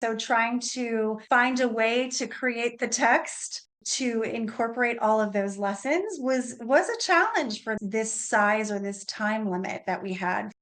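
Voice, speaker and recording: Woman, slightly high voice, interview guest, deliberate, thoughtful explanation. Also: lively intonation, a bit bright. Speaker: interview guest, opera librettist. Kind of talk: explanatory radio interview answer.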